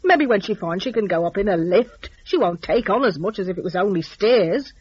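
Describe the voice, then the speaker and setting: A woman voicing a slow, obstinate, riled working-class father in a story, firm and stubborn. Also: mid-range voice, lively intonation, a little mellow, breathy edge, muffled recording.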